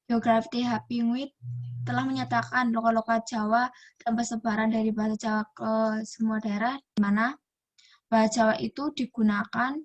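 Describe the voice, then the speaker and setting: A young woman from Indonesia, a university student reading a class presentation aloud from a text and often restarting words. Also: fairly high pitch. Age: young adult.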